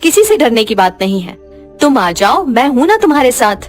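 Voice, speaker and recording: A woman's voice: on the high side, very animated, moderate pace, faint music behind. A woman playing a caring but determined female doctor, reassuring and firmly questioning.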